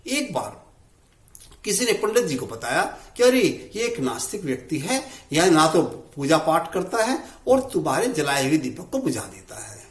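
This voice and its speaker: Male folk-tale narrator, conversational and highly expressive, slightly high register, hosting a story channel. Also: a little slow, echoey room. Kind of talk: oral moral storytelling.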